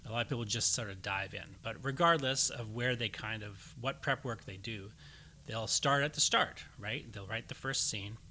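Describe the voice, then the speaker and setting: A man hosting a writing podcast into a studio mic, his voice bright and forward. He talks casually and makes his points by posing leading questions. A faint hum sits behind him. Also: on the low side, normal speed. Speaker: podcast host. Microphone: studio microphone.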